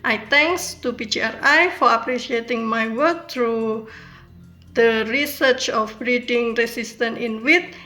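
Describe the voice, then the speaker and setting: A woman with an Indonesian accent reads a prepared acceptance speech. Her voice is bright and a little high, with faint music underneath. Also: low-quality recording. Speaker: PhD researcher accepting an award.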